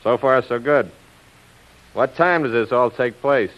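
A man's voice: medium pitch, unhurried, lightly acted. A shrewd hired gunman from a vintage radio crime serial, played by a male actor. He is cool, tough and matter-of-fact, heard through a dull, aged recording. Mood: cool, businesslike. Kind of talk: old-time radio crime serial acting.

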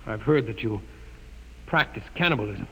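An actor playing a proud but frightened young male scientist in a 1950s radio drama, earnest and quick to indignation. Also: on the low side, lively intonation, fairly bright tone, husky, full character voice, poor audio. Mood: wary, haughty. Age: young adult. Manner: earnest, indignant, often alarmed.

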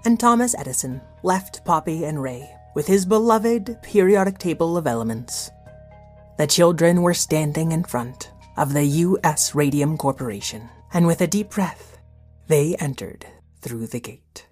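Woman narrator for a kids audio story, slightly low voice, reading from a script, music behind. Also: expressive, unhurried, a little mellow. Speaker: narrator and host.

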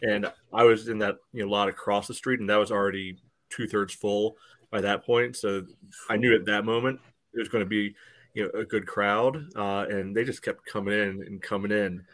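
Low-pitched man in meandering podcast chat. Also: little pitch movement, normal speed, a bit bright. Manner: loose, meandering, peppered with "you know". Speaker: podcast co-host. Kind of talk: casual sports podcast chat.

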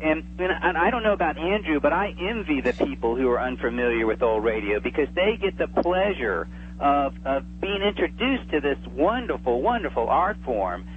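A man's voice: a little high, very animated, moderate pace. A man chatting enthusiastically as an expert guest in a casual radio interview, calling in by telephone. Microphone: telephone call. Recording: tinny phone line with hum and hiss.

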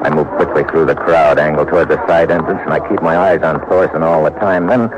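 Deep-voiced hard-boiled radio agent. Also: male voice, steady tone, fast, very noisy. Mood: brisk, hard-boiled narration. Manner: clipped, hard-boiled, terse. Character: tough, wry American male government agent. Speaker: lead actor and narrator. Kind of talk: old-time radio detective drama acting. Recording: dull vintage radio recording.

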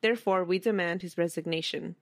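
Podcast host, woman, low register, conversational, reads quotes aloud, dry sound. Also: a little fast, no room sound. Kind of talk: casual history podcast storytelling.

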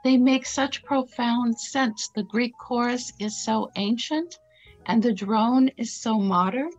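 A woman interviewer asking questions in a gracious, unhurried way. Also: a little high, a little mellow, soft background music. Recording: dull lo-fi sound.

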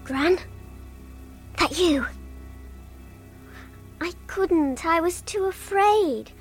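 A woman's voice: very high voice, animated, very slow, soft background music, heavily acted. An actress playing an eerie, wilful young girl with a British accent and an intense, insistent way of talking, in a supernatural screen drama. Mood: anxious pleading.

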